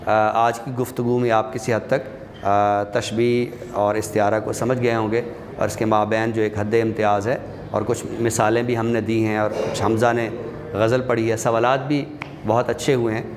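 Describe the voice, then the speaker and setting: A man's voice: lowish voice, level tone. A man giving a classroom lecture as a literature teacher, explaining things step by step and addressing his listeners directly. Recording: reverberant hall, clear background noise.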